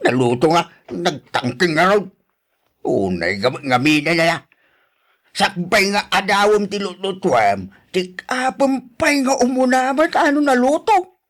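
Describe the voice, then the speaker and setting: An actor playing a grumpy, nagging husband in an Ilocano radio drama, with an Ilocano accent and a complaining, exclamatory way of talking. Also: male voice, high voice, expressive, a little slow, fairly bright tone. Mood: scolding.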